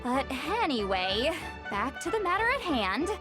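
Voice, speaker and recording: A haughty young shaman, kinda high voice, wry and lecture-y, game music behind. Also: big pitch swings, unhurried. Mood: explaining. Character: ancient-minded young shaman woman, proud and sharp-tongued. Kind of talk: video game dialogue. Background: game soundtrack music.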